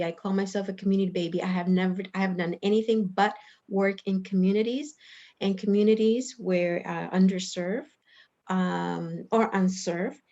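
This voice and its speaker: A middle-aged woman from El Salvador introduces herself informally on a panel. She talks in a halting, earnest, rambling way at a medium pitch. The video call leaves her sounding dull and lo-fi. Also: a little mellow. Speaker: panelist, clinical psychologist and director.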